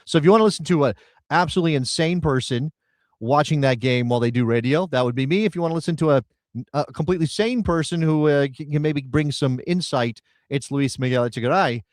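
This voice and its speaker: A man co-hosting a casual soccer talk show, emphatic and animated, often repeating words as he builds a point. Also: a little high, fast, no echo. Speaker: co-host and radio broadcaster.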